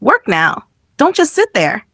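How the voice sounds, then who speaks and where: A woman's voice: mid-range voice, huge pitch swings, measured pace, fairly bright tone, breathy edge, dry recording, studio quality, exaggerated voice. A woman storyteller voicing a cruel stepmother in a folktale, hissing and scolding with contempt.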